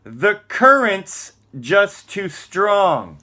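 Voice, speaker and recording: Man reading aloud with very lively, swinging intonation. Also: sits high, drawn-out words. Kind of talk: dramatic spoken-word reading of texts and lyrics with casual asides.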